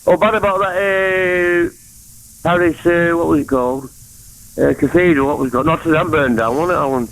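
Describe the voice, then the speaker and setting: Rambling Mancunian caller. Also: male voice, on the high side, steady tone, moderate pace, a bit bright, rough recording. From England. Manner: rambling, jumps between topics. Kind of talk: rambling phone-in call.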